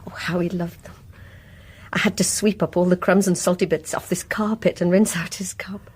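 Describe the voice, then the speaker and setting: A lonely British wife in a radio play, dreamy and troubled. Her voice is a little husky, and she confides in a wistful, sighing way. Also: on the low side, fairly even intonation, moderate pace, darkish tone. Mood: nostalgic, sad. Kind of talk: radio drama acting.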